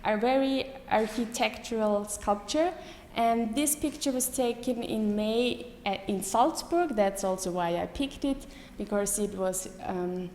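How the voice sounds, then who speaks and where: A woman in her thirties with a German accent, giving a live lecture to an audience, pausing often with many ums and restarts. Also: mid-range voice, darkish tone. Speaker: artist giving a talk. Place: lecture hall. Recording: echoey hall with faint room noise.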